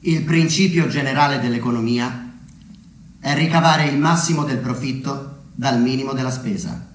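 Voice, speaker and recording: A man delivering a theatrical narrative monologue, deliberately, with dramatic pauses and emphasis. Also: medium pitch. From Umbria, Italy. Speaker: solo theatre storyteller. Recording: roomy echo with background noise.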